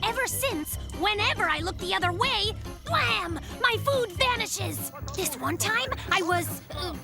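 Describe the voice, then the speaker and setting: An actor voicing a boastful, excitable young boy bear cub in a children's cartoon, with a bragging, dramatic delivery. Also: very high voice, unhurried, full character voice. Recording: music behind the voice.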